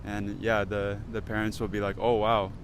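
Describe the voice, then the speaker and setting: A man's voice: on the low side, completely flat delivery, normal speed. A man in his twenties with an American accent, a student chatting casually in a street interview. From United States. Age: 20s. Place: outdoors on a university campus. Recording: outdoor street with clear traffic noise.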